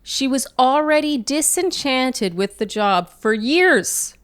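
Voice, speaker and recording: A woman's voice: high-pitched, expressive, slow, smooth, no echo, clean studio sound. A woman co-hosting an advice podcast, speaking conversationally and reading listener mail. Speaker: podcast co-host answering listener questions. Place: living room, indoors.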